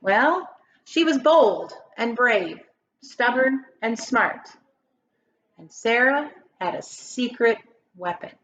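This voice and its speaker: A woman's voice: fairly high pitch, lively intonation, slow, a bit bright, lo-fi sound, a little hiss or hum. A woman reading a children's picture book aloud like a teacher, with emphatic, dramatic phrasing and questions put to her listeners. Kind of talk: expressive children's story read-aloud.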